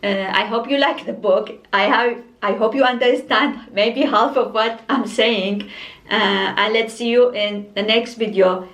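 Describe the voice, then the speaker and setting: A young woman whose first language is Arabic, chatting casually about books in a halting way with many fillers. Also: a little high, steady tone, a bit bright. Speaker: book vlogger. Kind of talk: casual book review. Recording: roomy echo, mic at a distance.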